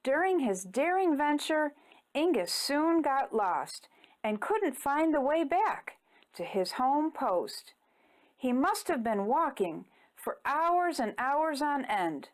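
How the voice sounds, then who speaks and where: Woman, children's storyteller, expressive read-aloud, high pitch, unhurried and deliberate. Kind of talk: rhyming picture-book read-aloud.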